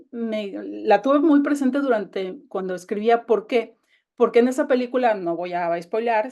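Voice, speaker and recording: A woman with a Mexican Spanish accent talks about her own novel in a relaxed, chatty way. She restarts her sentences often and keeps going with eager energy. Her voice comes through a video call. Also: medium pitch. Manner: chatty, enthusiastic, many restarts. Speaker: novelist guest. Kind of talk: casual conversation over a call.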